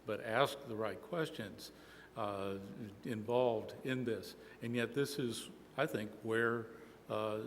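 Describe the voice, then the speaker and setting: Deliberate male panelist in an echoing hall. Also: medium pitch. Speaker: conference panelist. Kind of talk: unscripted conference commentary. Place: large indoor conference hall. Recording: echoey hall, faint background noise.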